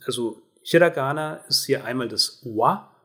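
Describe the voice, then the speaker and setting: A man with a medium-pitched voice speaks as a podcast host. He explains things in a casual, conversational style. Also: normal speed, fairly bright tone.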